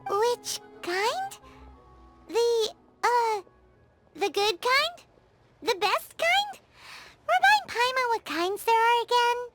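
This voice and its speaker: A tiny fairy sidekick chirps in an extremely high, squeaky, childlike voice. The delivery is excitable and naive, swooping widely in pitch like an anime game mascot, over music. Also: female voice, slow, long pauses, heavily acted. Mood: puzzled. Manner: excitable, refers to self in third person. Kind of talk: English anime game dub acting.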